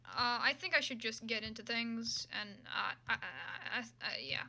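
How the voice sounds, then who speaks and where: A woman livestreamer chatting casually and unscripted, hesitant and halting, often muttering to herself. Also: on the high side, bright, forceful tone.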